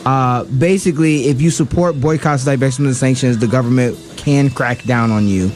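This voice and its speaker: A man's voice: mid-range voice, moderate pace, darkish tone, faint music behind. A man co-hosting a radio show, giving heated political commentary with many fillers and restarts.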